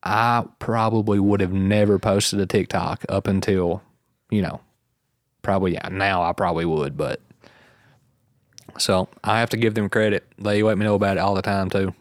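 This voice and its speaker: A relaxed male podcast host talks in a loose, rambling way and laughs easily. His voice sits a little low. The sound is close and dry, with no room echo. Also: moderate pace. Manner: rambling, easygoing, laughs often. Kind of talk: casual podcast chat.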